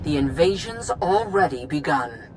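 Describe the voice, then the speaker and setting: A woman's voice: deep voice, expressive, measured pace, very noisy. An actress playing a stern, commanding female chancellor in a video game, cutting and unyielding.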